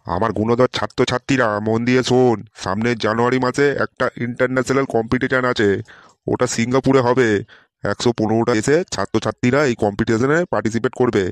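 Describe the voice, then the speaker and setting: A man's voice: medium pitch, fairly even intonation, quick pace, dry recording, natural voice. A man voicing a blustering, easily exasperated male quiz teacher in a comedy cartoon, scolding and exclaiming. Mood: announcing.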